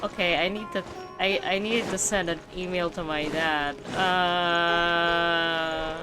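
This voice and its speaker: A woman's voice: lowish voice, fairly even intonation, slow, rough recording. A woman streaming a game and reacting in casual chat. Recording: game music behind the voice. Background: game music.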